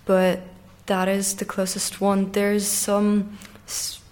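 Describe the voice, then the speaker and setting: A woman in her late teens from Ireland and Russia, answering interview questions conversationally and pausing to find her words. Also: medium pitch, completely flat delivery, darkish tone. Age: teens, around 17. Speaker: interview guest, competitive figure skater.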